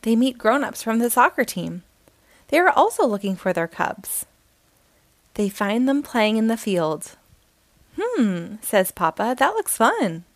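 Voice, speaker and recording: Lively woman reading a kids' book. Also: fairly high pitch, dry recording. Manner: slow, expressive, sing-song reading. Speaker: storytime host reading aloud. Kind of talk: children's picture book read-aloud.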